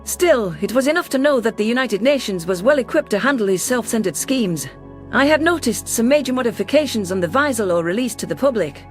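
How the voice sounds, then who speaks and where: A woman's voice: fairly high pitch, animated, fairly quick, faint music behind, natural voice. Woman playing a spirited alien ambassador, earnest. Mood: reflective. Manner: earnest, assertive. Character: spirited, defiant female alien ambassador, fiercely protective of humans. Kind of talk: science fiction audio drama, first-person narration and dialogue.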